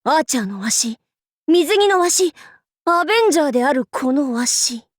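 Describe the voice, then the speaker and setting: High-pitched, swaggering girlish demon-king warlord. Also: lively intonation, moderate pace, no echo, studio quality. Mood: boastful, playful. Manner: archaic lordly speech, haughty, abruptly switching to goofy. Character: boastful young female demon-king warlord, imperious yet playful. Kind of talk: Japanese anime game character voice lines.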